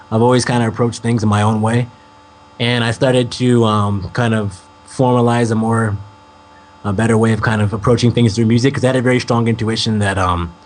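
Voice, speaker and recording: A young man from the United States speaks casually as an interview guest, his talk loose and rambling, filled with ums. His pitch sits in the middle range. A faint hum runs under the recording. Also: poor audio. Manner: relaxed, rambling, many ums.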